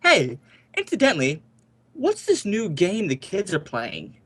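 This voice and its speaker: A man's voice: high voice, huge pitch swings, a little slow, a bit bright, full character voice. Man playing suburban husband and father, radio play, affable yet suspicious, swooping intonation, conversational. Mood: casual, curious. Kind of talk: amateur radio play reading.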